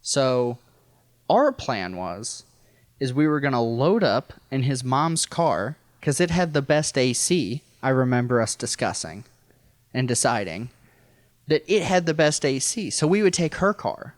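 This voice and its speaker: A man's voice: mid-range voice, sing-song, unhurried, no echo. American man telling a wry comic story. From rural Missouri, United States. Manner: wry, self-deprecating, profane. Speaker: podcast guest storyteller. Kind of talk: casual comedic personal storytelling.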